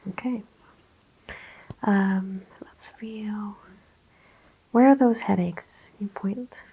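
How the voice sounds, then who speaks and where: Gentle whispery woman in soft ASMR, slightly creaky. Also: mid-range voice, fairly even intonation. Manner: gentle, often dropping into a whisper; explains and questions in short unhurried phrases. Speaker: ASMR host playing a chiropractor. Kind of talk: ASMR roleplay, soft-spoken exam.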